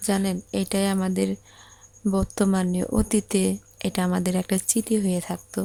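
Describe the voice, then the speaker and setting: Casting: actress as pleading young bride, Bangladeshi accent, very monotone, creaky voice, lovesick and insistent, audio romance drama. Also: female voice, medium pitch, normal speed, no room sound, lightly acted. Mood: heartbroken, plaintive. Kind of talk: Bengali audio story acted as a phone conversation.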